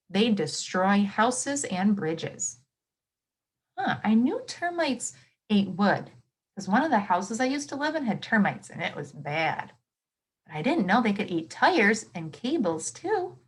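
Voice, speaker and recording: A woman's voice: medium pitch, breathy edge. A woman reading a nonfiction book aloud to children, expressively, with simple explanations and reactions as a teacher would.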